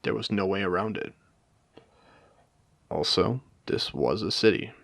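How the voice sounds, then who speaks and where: Man voicing a gravelly, theatrical post-apocalyptic casino AI. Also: deep voice, fairly bright tone, dry recording, heavily acted. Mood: grave, reminiscing. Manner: articulate, theatrical, deliberate, formal wording. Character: wry, courteous male AI, once human, haunting a ruined casino. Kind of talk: dramatic audio roleplay monologue addressed to a listener.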